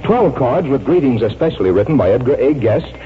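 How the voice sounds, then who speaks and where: A man's voice: medium pitch, sing-song, fairly quick. A man reading a scripted sponsor message as a 1940s radio announcer. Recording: dull, noisy vintage radio broadcast.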